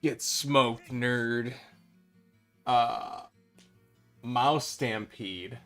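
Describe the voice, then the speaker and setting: A man's voice: mid-range voice, extremely slow, a bit bright, soft background music. A young man with a Midwestern accent chatting casually and nostalgically while streaming a game, swearing and laughing now and then. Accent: Midwestern American. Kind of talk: casual gameplay commentary.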